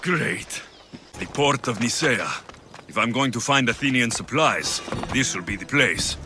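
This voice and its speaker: A man's voice: medium pitch, lively intonation, measured pace, background noise, lightly acted. An actor playing a confident, wry male mercenary warrior of ancient Greece in a video game, blunt and commanding. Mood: dry, confident. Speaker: video game lead character. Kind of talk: dramatic game cutscene acting.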